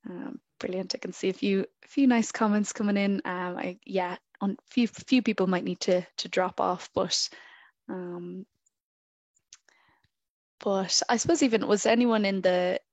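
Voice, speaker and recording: A woman's voice: mid-range voice, fairly even intonation, measured pace, dry recording. Woman host for a video-call workshop, friendly and chatty, dry close sound. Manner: friendly, chatty, many fillers. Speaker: event host. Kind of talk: hosting an online workshop.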